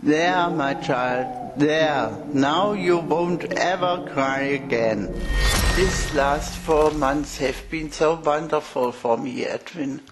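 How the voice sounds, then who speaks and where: A woman's voice: sits low, noisy background. An actress playing a deranged, sweetly pitying woman who kills out of mercy, speaking in a tender, cooing way in an audio horror drama. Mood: tender, wistful. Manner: tender, cooing, slow and dreamy.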